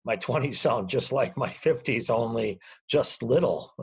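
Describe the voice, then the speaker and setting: A man's voice: mid-range voice, expressive, unhurried, husky. A man conducting a conversational interview, chatting casually as he puts questions and comments to his guest.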